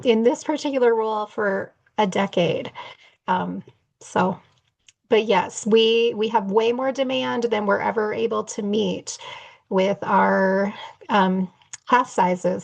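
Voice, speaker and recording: A woman's voice: mid-range voice, vocal fry. A woman teacher educator introducing herself in a friendly, chatty way on a call.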